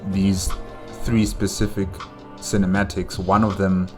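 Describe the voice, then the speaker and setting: A man's voice: low-pitched, flat delivery, unhurried, soft background music. A man hosting a reaction video, chatting casually and hesitantly as he wonders aloud. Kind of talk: casual chat, reacting to game cutscenes.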